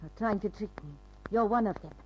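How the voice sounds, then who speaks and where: A woman's voice: mid-range voice, brisk, close to an everyday voice. A woman playing a wary, proud model with a slight foreign accent in an old radio crime drama. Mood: fearful, defiant. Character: guarded foreign female model, suspicious and proud. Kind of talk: 1950s radio drama acting. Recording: dull, hissy old radio recording.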